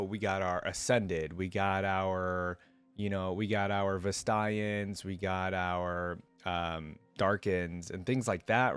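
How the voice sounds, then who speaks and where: A man's voice: lowish voice, dry recording. A man hosting a reaction video, giving casual, chatty commentary and musing aloud.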